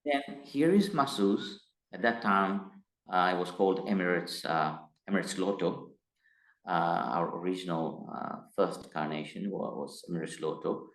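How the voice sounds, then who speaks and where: A middle-aged man from Tanzania, speaking as an interview guest in a measured, hesitant way that turns emphatic. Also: sits low. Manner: measured, hesitant with frequent uh fillers, grows emphatic. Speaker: guest, company executive. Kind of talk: interview conversation. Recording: roomy echo.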